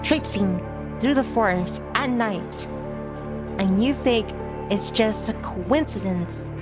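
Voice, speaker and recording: A woman reading a visual novel aloud as a streamer, narrating in measured phrases with casual commentary. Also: mid-range voice. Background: music.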